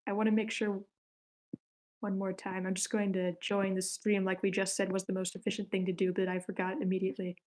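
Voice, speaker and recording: Woman, mid-range pitch, table read host, casual speech, online group call. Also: flat delivery, brisk, darkish tone. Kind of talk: casual hosting of an online session.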